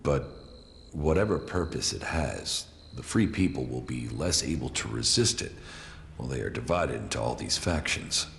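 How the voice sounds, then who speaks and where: An actor playing a grim, emotionally detached former commander in a video game, speaking in a terse deadpan with a gravelly voice. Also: male voice, extremely low pitch, normal speed, slight background noise, full character voice. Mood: guarded, questioning. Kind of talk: video game cutscene acting. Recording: echoey reverb, music behind.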